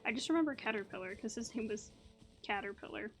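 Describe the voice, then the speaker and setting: A woman's voice: a little high, fast, fairly bright tone, faint music behind. A woman giving casual, chatty commentary as she plays through a video game. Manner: chatty, informal. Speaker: gaming video host.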